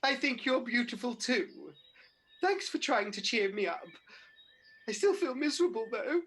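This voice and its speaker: A woman's voice: a little high, lively intonation, moderate pace, fairly bright tone, slight background noise. A woman playing Mother Goose as a fussy, plaintive, vain and sweet pantomime dame in a radio panto. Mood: sad, self-pitying. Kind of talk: British radio pantomime acting.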